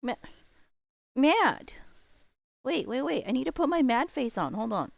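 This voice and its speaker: A woman livestreamer chatting casually with her viewers in a playful, expressive way. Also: high voice, a little slow, creaky, no echo.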